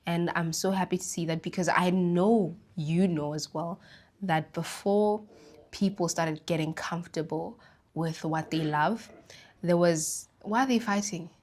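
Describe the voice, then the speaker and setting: A young woman from Botswana hosting a casual solo podcast, her throat slightly raspy from the flu, rambling in a relaxed way about life and spirituality. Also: fairly low pitch, measured pace. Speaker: podcast host.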